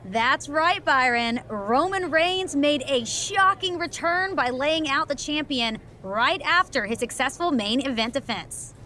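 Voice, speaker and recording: A woman's voice: high-pitched, expressive, a little slow, hardly any pauses, pushed and bright, a little hiss or hum, exaggerated voice. A woman playing an upbeat, polished wrestling show presenter in a video game. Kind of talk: sports broadcast presenting.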